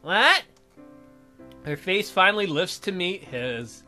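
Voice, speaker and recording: A man's voice: high voice, very animated, slow pace, over music. A man hosting a let's play, reading a visual novel aloud and breaking off to add irreverent, amused comments.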